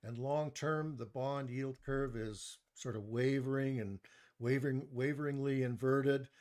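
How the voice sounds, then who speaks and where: A soft-spoken man giving a live online stock market review into a desktop mic, measured, with frequent pauses and restarts. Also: mid-range voice, fairly even intonation. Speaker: presenter of a stock market webinar. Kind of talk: live online presentation, reading chat comments.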